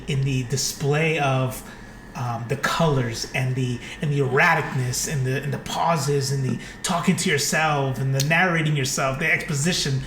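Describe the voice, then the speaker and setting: A man's voice: medium pitch, a bit bright, slight background noise. A man in his late thirties co-hosting a podcast, talking casually in a rambling, enthusiastic way. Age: 30s. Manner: enthusiastic, rambling, many fillers. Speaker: podcast co-host. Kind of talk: casual chat. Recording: echoey room.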